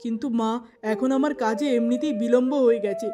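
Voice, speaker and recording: A woman's voice: a little high, normal speed, natural voice. A woman voicing a poor, weary middle-aged father in a cartoon moral tale, pleading and plaintive. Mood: placating.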